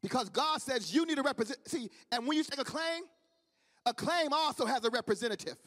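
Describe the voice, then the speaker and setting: A man's voice: extremely high pitch, lively intonation, brisk, a bit bright. A man with a Midwestern accent preaching a sermon with fervent, repetitive cadence. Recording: long reverb of a large hall.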